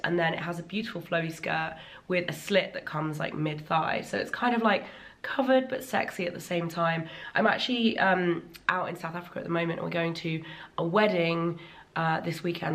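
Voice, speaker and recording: A woman in her thirties chatting casually in a vlog from a bright living room, her voice still slightly worn after laryngitis, enthusiastic and full of ums. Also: fairly low pitch, vocal fry. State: recovering from laryngitis, voice a little worn. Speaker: fashion vlogger. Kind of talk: casual sponsored haul vlog.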